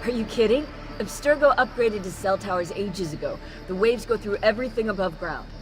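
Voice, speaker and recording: A young woman played by an actress talks in a medium-pitched voice with music behind her. She is a blunt, irritable, informal tech type in a game story. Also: lively intonation, fairly quick, lightly acted. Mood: edgy. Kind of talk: naturalistic video game cutscene acting. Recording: clear music underneath.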